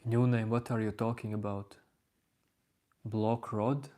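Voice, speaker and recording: Slow, flat-voiced Latvian-accented man. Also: lowish voice. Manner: unhurried, even, reading out chat names. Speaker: livestream host answering chat. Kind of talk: slow calm spiritual chat.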